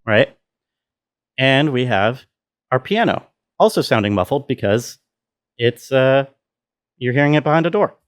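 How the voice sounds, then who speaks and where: A man giving a casual tutorial walkthrough as a sound designer, thinking aloud in a halting way. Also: mid-range voice, lively intonation, clean tone.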